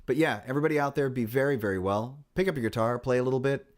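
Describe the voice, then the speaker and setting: A man's voice: medium pitch, racing. A middle-aged man vlogging casually about his guitar channel, rambling and wry, with many fillers and restarts.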